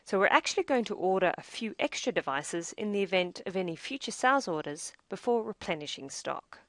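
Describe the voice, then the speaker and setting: A woman narrating a scripted software tutorial as demo presenter, in a measured, explanatory way. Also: mid-range voice, animated, no echo.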